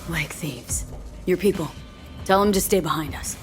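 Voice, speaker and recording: A woman's voice: fairly low pitch, normal speed, soft background music, natural voice. A woman voicing a cocky, streetwise mercenary in a cyberpunk video game, terse and sardonic. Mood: cool, sly.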